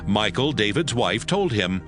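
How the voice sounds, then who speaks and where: A man's voice: fairly low pitch, big pitch swings, a little slow, over music. A man narrating a dramatized scripture audiobook.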